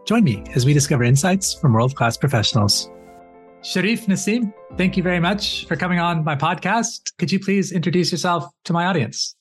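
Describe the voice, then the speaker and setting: Man, podcast host, somewhat high voice, polite, scripted intro, faint music behind. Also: animated, brisk, husky. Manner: polite, scripted intro then courteous questions. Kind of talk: interview hosting.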